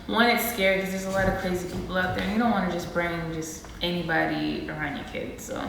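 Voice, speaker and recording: A woman in her thirties co-hosting a casual couples Q&A vlog, animated and quick to exclaim. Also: fairly low pitch, creaky. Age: 30s. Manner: animated, laughing, dramatic exclamations. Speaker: vlogger and co-host. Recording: roomy echo with faint noise.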